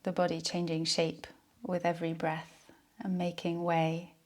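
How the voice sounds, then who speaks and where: A woman leading a guided yoga nidra relaxation in a soothing, unhurried, soft-spoken way. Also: fairly low pitch, completely flat delivery.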